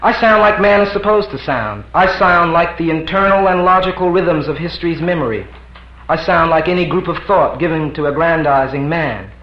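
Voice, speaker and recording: A man's voice: fairly high pitch, normal speed, voice pushed up, lightly acted. A man voicing a grandiose, self-important landowner who speaks pompously, in a roomy, dull live recording.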